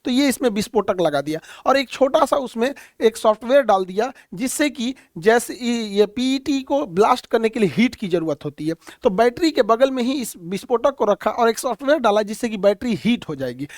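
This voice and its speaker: A man's voice: extremely high pitch, animated, moderate pace, few pauses, no echo, clean studio sound. A man teaching a current-affairs lesson in a plain explaining manner, pointing things out on a map and using many fillers. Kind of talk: explainer lecture.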